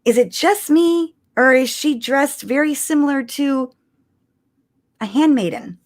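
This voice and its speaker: A woman co-hosting a casual fan discussion, telling things in an animated way and often checking in with the listener. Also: high-pitched, measured pace. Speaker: podcast co-host.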